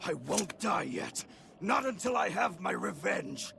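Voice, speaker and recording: An actor playing a wounded, vengeful man in a video game, with a strained, creaky voice and bitter, labored delivery. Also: sits high, very animated, unhurried, full character voice. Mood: weak, vengeful. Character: wounded, vengeful man betrayed by his guild. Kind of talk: English video game voice acting. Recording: game audio with loud music behind.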